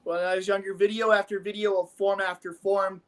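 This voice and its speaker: A man's voice: high-pitched, little pitch movement, brisk. A man from Nebraska commentating martial arts sparring in an enthusiastic, rambling, coach-like way. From Omaha, Nebraska. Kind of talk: casual sports commentary.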